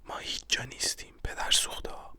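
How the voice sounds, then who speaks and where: A narrator voicing a paranoid, agitated man from a stage play in a whispered voice. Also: bright, forceful tone. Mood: frantic, defiant.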